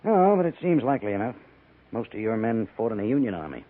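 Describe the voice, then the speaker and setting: An actor playing a wary, wry army major in command of a fort, in 1950s radio western style, with a husky voice. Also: male voice, lowish voice, big pitch swings, quick pace. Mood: wry, cautious. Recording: tinny 1950s radio broadcast recording.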